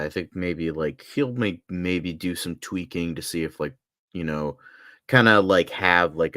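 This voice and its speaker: A man's voice: sits low, a bit bright, no echo, studio quality. A man co-hosting a casual film discussion, speaking tentatively and hesitantly with many filler words. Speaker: podcast co-host.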